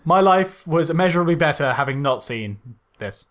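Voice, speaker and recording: A man's voice: a little high, expressive, normal speed, a bit bright. A man co-hosting a podcast in casual film critique banter, hesitant and often repeating his phrases. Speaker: podcast co-host.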